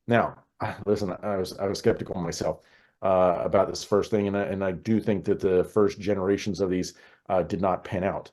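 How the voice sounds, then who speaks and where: Low-voiced American man, halting delivery. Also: fairly even intonation, a little mellow. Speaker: podcast guest, air traffic control expert. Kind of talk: conversational interview explanation.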